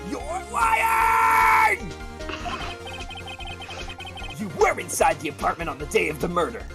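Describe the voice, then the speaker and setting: An amateur player voicing a brash young male defense attorney in a courtroom video game, emphatic and shouting objections. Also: medium pitch, animated, takes it slowly, music in the background, voice pushed up. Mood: triumphant, accusing.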